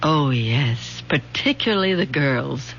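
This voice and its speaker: A woman's voice: extremely low pitch, animated, slow pace, fairly bright tone, exaggerated voice. An actress playing a bitter, wounded middle-aged mother with a brooding, sardonic manner in a 1970s radio drama. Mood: bitter sarcasm. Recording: dull, noisy old radio broadcast with hum.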